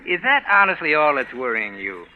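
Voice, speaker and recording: Sneering, resentful radio husband, muffled sound. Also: male voice, fairly high pitch, big pitch swings, moderate pace, a little hiss or hum. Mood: challenging. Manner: sarcastic, needling. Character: sneering, resentful husband needling his wife. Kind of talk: 1940s radio acting. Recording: muffled old radio broadcast.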